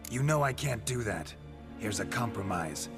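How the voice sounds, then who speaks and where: A man playing a stoic, sardonic monster hunter in a fantasy video game, gravelly, terse and deadpan. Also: lowish voice, moderate pace. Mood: coolly threatening. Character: stoic, sardonic male monster hunter, hard-bitten mercenary. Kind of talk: cinematic game dialogue. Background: music.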